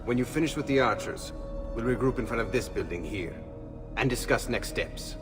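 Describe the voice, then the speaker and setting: A man playing a measured, commanding leader of thieves in a video game. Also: medium pitch, animated, over music, natural voice. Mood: resolute. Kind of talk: video game cutscene acting.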